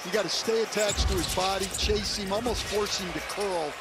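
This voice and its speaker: A man's voice: high voice, normal speed. A man giving opinionated, explanatory colour commentary on a live basketball game. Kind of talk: analytical live sports commentary. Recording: broadcast under loud arena noise. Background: loud basketball arena ambience.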